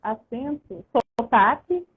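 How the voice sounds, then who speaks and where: A woman's voice: fairly high pitch, animated, takes it slowly, a little hiss or hum. A Brazilian woman, a guest on a video call, telling her story at length with many restarts. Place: abroad, far from the host. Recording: tinny phone-like line.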